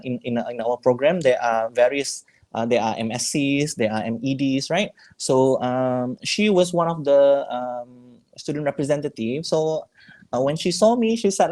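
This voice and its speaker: A man's voice: medium pitch, a little mellow. A man, a former scholarship student and teacher, answers interview questions in a casual, hesitant way, with many fillers and restarts. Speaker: guest teacher, scholarship alumnus.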